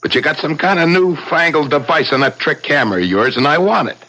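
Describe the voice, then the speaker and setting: An actor playing an ambitious, blunt veteran police inspector in a radio drama, his voice rough-edged and insistent. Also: a little high, lively intonation, fast talker, pushed and bright, exaggerated voice, low-quality recording. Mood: bitter, demanding. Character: ambitious, hard-bitten veteran police inspector, gruff and demanding. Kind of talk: 1970s radio drama acting. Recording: slightly rough 1970s radio drama recording.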